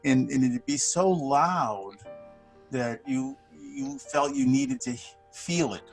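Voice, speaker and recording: Gravelly older guy in his 60s, rambly video call chat, lots of restarts, faint music behind. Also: medium pitch, slow. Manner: rambling, many restarts, chuckles often. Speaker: studio manager and bass player. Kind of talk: casual conversation on a video call.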